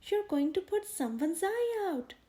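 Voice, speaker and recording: A motherly storybook bear, read by a woman, kindly and proud. Her high, softly toned voice rises and falls freely as she encourages her family. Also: sing-song, measured pace, exaggerated voice. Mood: startled warning. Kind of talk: children's storybook character voice.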